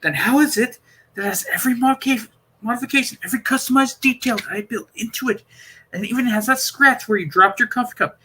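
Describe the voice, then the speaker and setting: A man voicing a precise, excitable time-travelling doctor in an audiobook, lecturing emphatically and wordily. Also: very high voice, fast, slight background noise, voice pushed up. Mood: firm, insistent.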